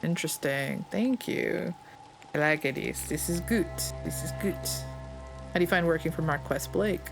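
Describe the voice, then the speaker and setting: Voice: medium pitch, a little slow, vocal fry, music in the background. A let's play gamer casually commenting and muttering asides while reading out questions.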